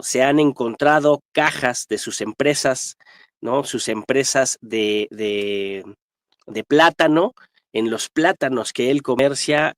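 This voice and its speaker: A man's voice: mid-range voice, fairly bright tone, no echo. Mexican man, dry close sound, emphatic analyst. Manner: emphatic, militant, frequent tag questions. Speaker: guest historian and political analyst. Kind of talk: spontaneous political commentary.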